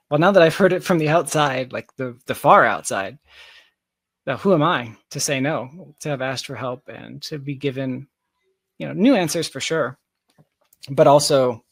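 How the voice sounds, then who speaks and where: A man's voice: mid-range voice, measured pace, dry recording. A man speaking as an interview guest in casual, reflective conversation, searching for his phrasing as he goes. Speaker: interview guest, tech founder.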